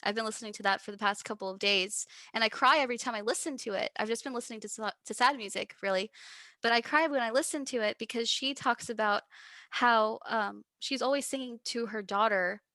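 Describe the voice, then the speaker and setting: A young woman with a Texas accent, a musician chatting as a guest in a relaxed interview, bubbly and giggly. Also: a little high, brisk, no room sound. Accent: Texan. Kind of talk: casual interview chat.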